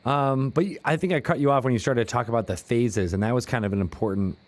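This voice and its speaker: A man's voice: mid-range voice, fast talker. A man hosting a podcast interview, talking in an enthusiastic, casual way that often restarts its sentences. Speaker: podcast host.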